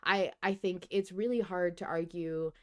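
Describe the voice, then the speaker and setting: A woman hosting a podcast, debating in casual fan discussion, animated and often interrupting herself as she thinks aloud. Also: lowish voice, moderate pace. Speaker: podcast host.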